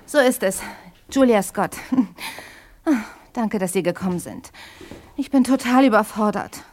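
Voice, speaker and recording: A woman's voice: mid-range voice, slow pace, a little mellow. Audio drama role: a frank young granddaughter, mid-range voice, flustered and chatty, out of her depth. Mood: frank, sad. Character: overwhelmed grieving young granddaughter clearing out an inherited house. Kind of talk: German audio drama acting.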